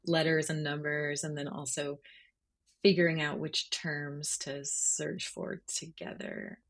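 A woman's voice: low-pitched, little pitch movement, slow. A woman journalist speaking as an interview guest, explaining things conversationally and thoughtfully, feeling for the right words. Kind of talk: conversational interview, explaining their writing process.

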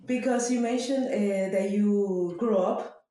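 A woman interviewer asking slow, measured follow-up questions. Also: medium pitch, warm tone, slight background noise. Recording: very echoey room.

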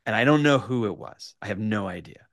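A man telling an animated anecdote as a podcast guest, excitable and self-deprecating, with casual swearing. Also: lowish voice, brisk, no room sound, clean studio sound. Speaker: podcast guest, writer and storyteller.

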